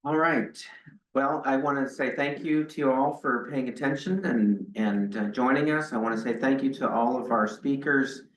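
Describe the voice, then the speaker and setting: A man's voice: medium pitch, moderate pace. A man moderating the panel and courteously thanking speakers and audience. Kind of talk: closing remarks. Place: hotel conference room, at a podium. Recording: echoey room, distant mic.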